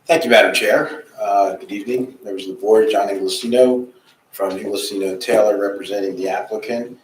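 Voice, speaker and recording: A man's voice: on the low side, steady tone, a little fast. A man speaking as an attorney before a planning board, formal and dotted with hesitations, recorded in a town hall room. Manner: formal, many uh fillers. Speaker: attorney for an applicant. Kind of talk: formal testimony. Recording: echoey room, distant mic, faint noise.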